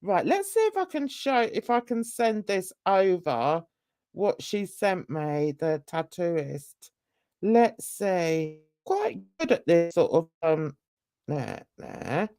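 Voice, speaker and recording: A woman's voice: on the low side, sing-song, slow, dark tone, no echo, clean studio sound. A woman, chatting casually with livestream viewers in a rambling, self-mocking way and laughing often. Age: 60s. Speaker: livestream host.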